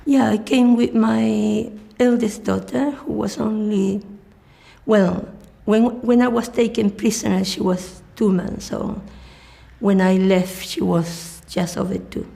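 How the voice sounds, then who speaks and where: A woman's voice: mid-range voice, fairly even intonation, slow pace, creaky, warm tone. An older woman, giving personal testimony in a documentary interview. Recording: guitar music behind the voice.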